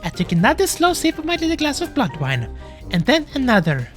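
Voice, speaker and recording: Woman voicing a high-pitched, anxious furry animal dad. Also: wildly swooping pitch, a little fast, soft background music. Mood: nervous, narrating. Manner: hesitant, stammering, emotive. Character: anxious, flustered furry animal dad, gentle and easily overwhelmed. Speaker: narrator. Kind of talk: dramatic first-person visual novel reading.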